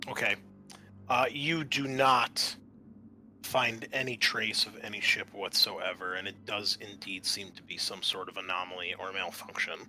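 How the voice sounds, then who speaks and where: A man's voice: on the low side, steady tone, unhurried, sharp tone, a little hiss or hum. A man narrating a tabletop game as game master in a conversational, explanatory way.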